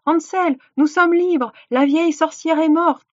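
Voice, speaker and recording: A woman voicing an innocent, quick-witted young girl in a fairy tale, with earnest delivery. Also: high-pitched, lo-fi sound, pitched above the performer's own voice, lightly acted. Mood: joyful relief. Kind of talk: dramatised fairy-tale storytelling.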